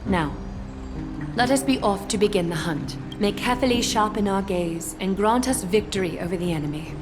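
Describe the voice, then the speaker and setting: A woman's voice: medium pitch, animated, normal speed, music in the background, close to an everyday voice. A woman playing a dignified, composed leader with a priestess's stately, formal manner in video game dialogue. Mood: composed, solemn.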